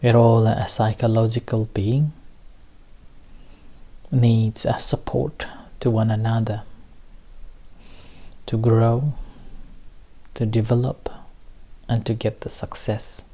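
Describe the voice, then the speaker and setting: A man with a soft-edged, relaxed voice guides a meditation session. He speaks very slowly, in short, halting phrases separated by long pauses. His intonation stays very flat as he gently gives instructions. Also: medium pitch. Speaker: Buddhist meditation teacher leading a group session.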